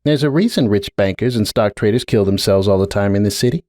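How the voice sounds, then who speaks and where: A man's voice: fairly low pitch, fast talker, a little mellow, no room sound, studio quality, natural voice. A man voicing a guarded, brooding middle-aged pony mare, a blunt aunt with a terse, world-weary way of talking, in a dramatic story reading. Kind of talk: dramatic audiobook character reading.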